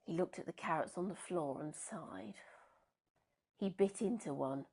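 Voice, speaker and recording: A woman reading a children's storybook aloud in an expressive, unhurried and dramatic way. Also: fairly low pitch, slight background noise.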